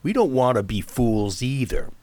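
A man plays a smooth-talking schemer in a radio drama. His medium voice moves in lively swings and is recorded quite dry. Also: mid-range voice, moderate pace, no room sound, close to an everyday voice. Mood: sly. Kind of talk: science fiction radio drama acting.

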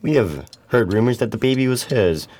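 A man playing a courteous police inspector in a radio play, asking questions in a measured way. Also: mid-range voice, expressive, darkish tone, natural voice. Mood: polite, probing. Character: polite, probing male police inspector.

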